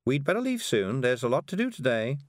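Man as kindly storybook witch woman, fussy, earnest, raised pitch, lilting. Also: big pitch swings, a little fast, no echo, clean studio sound, close to an everyday voice. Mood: fretful, busy.

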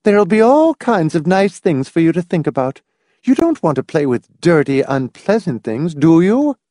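Man acting a high, prim, scolding mother. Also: sing-song, moderate pace, mellow, dry recording, clean studio sound. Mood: reproving. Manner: reproving, tight, indignant. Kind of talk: audiobook character voice.

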